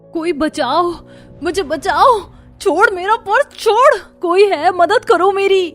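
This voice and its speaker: A woman's voice: very high-pitched, takes it slowly, soft background music. A woman voicing a polite, well-off young woman in a cartoon story. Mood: panicked. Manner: polite, mixes in English phrases. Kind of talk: Hindi cartoon story dubbing.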